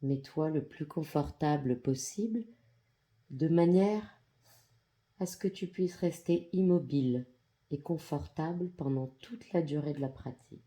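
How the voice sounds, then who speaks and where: Slow, very mellow yoga nidra guide, gender-neutral voice. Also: mid-range voice. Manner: calm, soothing, measured with long pauses. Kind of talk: guided meditation, soft instructional narration.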